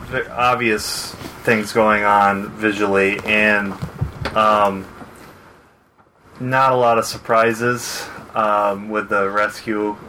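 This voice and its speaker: A man with a lowish but bright, forceful voice speaking as a podcast guest. He talks in a measured, dry way with short pauses. The delivery is casual. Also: level tone, a little hiss or hum, rough recording. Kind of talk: casual chat.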